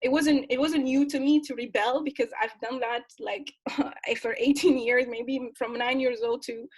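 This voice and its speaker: A young woman from Tunisia tells her own story as an interview guest, earnest and passionate. Her voice sits a little high for a woman. She often restarts phrases and breaks into soft laughs between thoughts. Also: steady tone, moderate pace. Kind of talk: candid personal storytelling.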